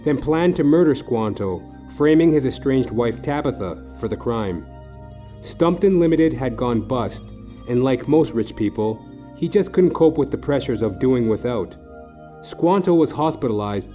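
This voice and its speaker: Casting: male actor as hard-boiled gumshoe narrator, wry and world-weary, medium-pitched, for a comic noir audio play. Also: mellow, natural voice. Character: wry, world-weary, down-on-his-luck male private detective.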